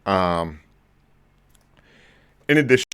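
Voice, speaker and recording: A man in his forties hosting a casual live stream about movies, talking in a rambling way with lots of ums and restarts, his voice a bit lower than usual. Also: lively intonation, slow, a bit bright, clear, resonant tone. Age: 40s. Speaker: movie channel host.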